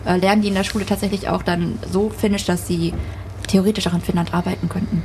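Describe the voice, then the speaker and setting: A woman's voice: medium pitch, fairly even intonation, fast talker, darkish tone, slight background noise. A young adult woman interviewing, asking curious, probing questions.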